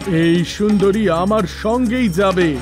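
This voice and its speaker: A man voicing an arrogant, lustful demon king in an animated myth, with an imperious, declamatory manner. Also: sits high, music in the background. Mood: covetous, commanding. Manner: slow, imperious, declamatory delivery. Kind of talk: animated mythology story acting.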